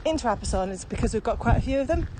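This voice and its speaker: A woman's voice: a little high, expressive, very fast. Female podcast co-host, conversational explainer, recorded outdoors with very heavy ambient noise. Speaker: podcast co-host and virtual training consultant. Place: outdoors on a walk.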